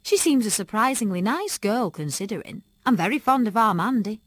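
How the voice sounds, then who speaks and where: A woman voicing a kind, easygoing middle-aged father who speaks gently and reasonably. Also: fairly high pitch, animated, brisk, no room sound. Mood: reasonable.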